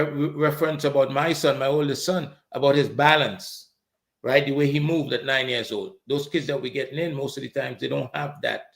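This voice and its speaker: A man who co-founded a youth football club, talking earnestly in an interview, often repeating words as he gets going. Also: mid-range voice. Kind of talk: interview answers. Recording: roomy echoey sound.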